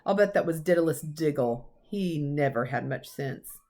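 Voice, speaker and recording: A woman's voice: deep voice, expressive, normal speed, a little mellow. Lady doing a strict older witch teacher in a kids book, indignant, stresses her words, bit anxious. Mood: irritable, impatient. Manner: emphatic, indignant.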